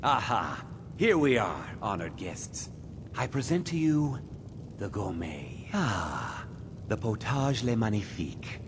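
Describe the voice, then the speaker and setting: Pompous emperor, swooping low voice. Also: male voice, background noise. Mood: grandiose. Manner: grandiose, oratorical. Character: pompous, complacent aging male emperor at a banquet. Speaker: emperor character. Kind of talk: fantasy video game dialogue. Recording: loud game music behind voice.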